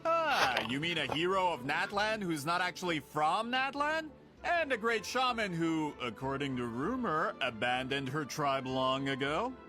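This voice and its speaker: Smug, scheming tycoon. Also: male voice, a little high, big pitch swings, unhurried, music in the background. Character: greedy scheming male printing tycoon. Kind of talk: video game voice acting.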